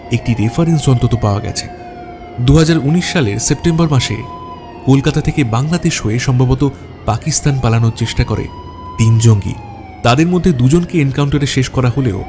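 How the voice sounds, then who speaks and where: A man narrating a scripted detective story in a measured way, with dramatic pauses. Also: mid-range voice, background noise. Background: background music.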